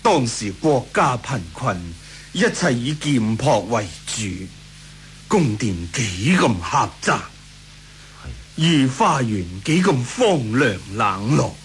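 Flattering court minister, slow and declamatory. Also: male voice, mid-range voice, soft background music. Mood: coaxing. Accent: Hong Kong Cantonese. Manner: deferential, persuasive, declamatory. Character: flattering, scheming imperial grand councillor. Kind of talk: 1980s Cantonese radio drama acting.

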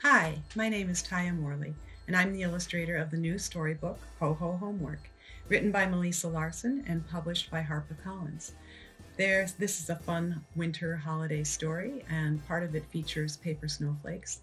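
A woman in her sixties giving plain, measured step-by-step instructions for a paper craft. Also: fairly low pitch, steady tone, a bit bright, soft background music. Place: wood-cabinet room indoors, at a craft table.

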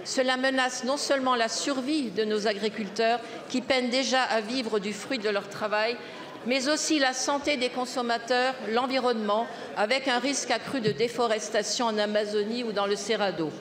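A woman's voice: fairly high pitch, steady tone, crowd noise. Older woman reading a question in a chamber. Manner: measured, reading aloud from a sheet. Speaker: member of parliament asking a question. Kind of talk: formal parliamentary question read from paper. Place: large parliament chamber. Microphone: gooseneck desk mic. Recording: roomy echo with long hall reverb.

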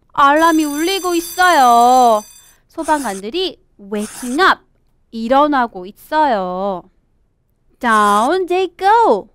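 A young woman from South Korea, a native Korean speaker, teaching English to children as a storybook reading guide in animated, exaggerated teacher talk. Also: sits high. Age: young adult. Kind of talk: children's storybook English lesson, switching between two languages.

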